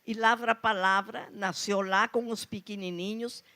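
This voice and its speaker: A woman's voice: mid-range voice, measured pace. A woman with a strong foreign accent speaking Portuguese, telling anecdotes on stage at a literary panel and acting out the dialogue she quotes. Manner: anecdotal, animated quoting of dialogue. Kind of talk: storytelling at a literary talk. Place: festival stage before an audience.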